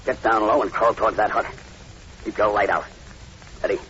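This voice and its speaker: A man's voice: lowish voice, fairly even intonation, a little fast, bright, forceful tone. An actor playing a fearless, commanding man hunting the undead, curt and urgent in an old-time radio horror drama. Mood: urgent, determined. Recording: muffled, hissy old radio recording.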